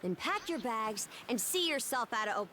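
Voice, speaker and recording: Brash young pirate woman with lively delivery. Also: fairly high pitch, moderate pace, a little hiss or hum. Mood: defiant, irritated. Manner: blunt, taunting, confident delivery. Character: brash young Norman pirate woman, sharp-tongued, proud, fierce fighter. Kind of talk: English video game voice acting.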